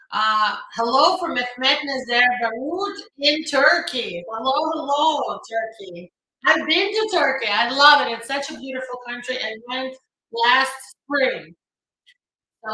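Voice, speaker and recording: A woman's voice: high voice, pushed and bright. A woman, an immigration lawyer chatting on a live stream and greeting viewers by name, with an upbeat manner and frequent fillers. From Ukraine. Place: home or office room in New York. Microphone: phone. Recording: echoey room on a phone.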